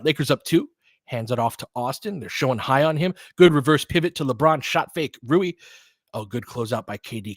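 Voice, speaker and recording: A man's voice: mid-range voice, husky, no echo. A man giving informal live basketball play-by-play as a fan-facing host, opinionated and conversational, in clipped phrases.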